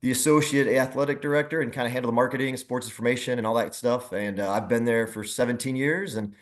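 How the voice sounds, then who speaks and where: Middle aged guy chatting as a guest, mid pitch, easygoing, halting delivery. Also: steady tone. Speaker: guest, athletic director and former team general manager. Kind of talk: casual chat.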